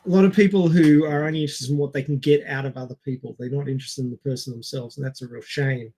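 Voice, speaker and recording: A man with a medium-pitched voice talks casually as a podcast co-host. He pauses and searches for his words as he explains things, speaking into a headset. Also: steady tone, mellow. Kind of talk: casual film podcast chat.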